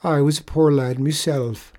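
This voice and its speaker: A performer voicing a soft-hearted, gullible Irish tradesman in a storybook tale, exclaiming tearfully. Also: mid-range voice, steady tone, measured pace, pitched above the performer's own voice, close to an everyday voice. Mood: sorrowful.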